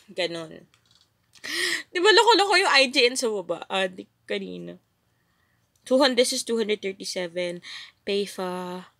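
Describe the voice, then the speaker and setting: A woman's voice: mid-range voice, very animated, normal speed, long pauses, fairly bright tone, dry recording. A young woman with a Filipino accent, chatting playfully and giggling as she streams herself playing a game. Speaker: gaming streamer. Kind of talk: live gameplay commentary and chat.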